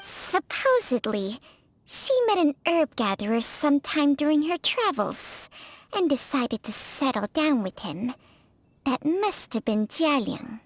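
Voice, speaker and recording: A woman's voice: high-pitched, big pitch swings, a little slow. A woman voicing a proud, ancient female snake spirit in a video game, formal, haughty and admonishing. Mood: matter-of-fact. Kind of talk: video game character acting.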